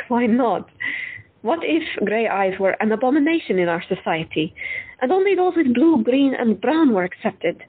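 A woman playing a frank, unconventional woman doctor who talks bluntly and candidly with a slightly husky voice. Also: on the high side, very animated, moderate pace. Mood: earnest. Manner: blunt, candid, lightly teasing. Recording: tinny phone-like audio.

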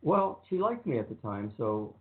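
A man co-hosting a radio talk show, speaking in a calm, even, rambling way with many ums. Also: mid-range voice, moderate pace, a little hiss or hum. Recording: phone-like narrow sound.

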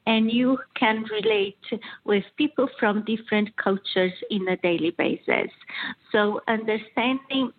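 A middle-aged woman from southern Brazil with a Brazilian Portuguese accent, a coach answering interview questions in a measured, thoughtful way. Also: mid-range voice. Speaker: guest coach. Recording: tinny phone line.

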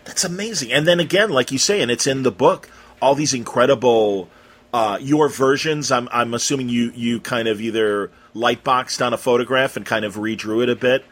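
Male interview host, mid-range voice with a husky grain, conversational and halting as he works toward his questions. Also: mid-range voice, a bit bright.

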